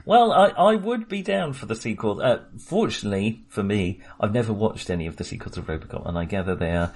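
Man, low voice, wry casual film chat, podcast co-host. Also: fairly quick. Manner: chatty, wry, animated when making a point.

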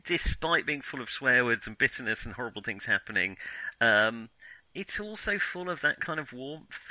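Guy on a film podcast, really bright sharp voice, dry sound, kinda hesitant, keeps rewording himself. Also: mid-range voice, lively intonation, moderate pace, no room sound, low-quality recording. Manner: hesitant, hedging, often rephrasing mid-thought. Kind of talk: casual film discussion.

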